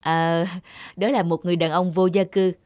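Cartoon doctor, middle-aged man played by a woman: kindly, formal, measured explanations. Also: fairly low pitch, little pitch movement, a little mellow, no room sound, studio quality, close to an everyday voice. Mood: calm, informative. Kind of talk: cartoon dubbing.

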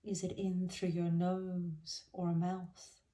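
A woman guides a meditation in a mellow, relaxed voice that sits slightly low. She speaks very slowly and gently, soothing the listener, and lets the ends of her phrases fall softly. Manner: gentle, soothing, unhurried with soft phrase endings. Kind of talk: guided body scan meditation.

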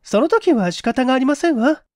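A woman plays a young lady from a vintage mystery drama. Her voice is high and feminine, with refined, composed manners and a pleading politeness. The recording is close and dry. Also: lively intonation, fairly quick, darkish tone, no room sound. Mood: composed, polite.

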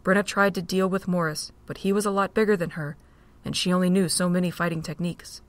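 A woman narrating a fan audio drama with steady, measured reading. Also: on the low side.

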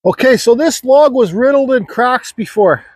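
A man's voice: extremely high pitch, animated, normal speed, darkish tone, husky, no echo, studio quality. A middle-aged man giving an offhand, chatty chainsaw carving lesson in an outdoor yard, recorded on a nearby camera mic. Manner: offhand, chatty, talking while working. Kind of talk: casual how-to demonstration.

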